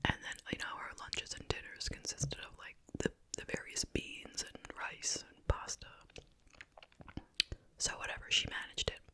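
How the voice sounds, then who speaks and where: A woman whispering softly and breathily in a relaxed, rambling, conversational ASMR style, as the host of an eating video. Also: normal speed. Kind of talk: whispered ASMR chit-chat, eating show. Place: at home in a residential house.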